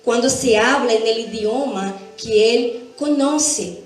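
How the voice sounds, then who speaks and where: A woman's voice: a little high, takes it slowly. A woman giving church Bible teaching, explaining step by step and checking in with short tag questions. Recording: very echoey room, faint music behind.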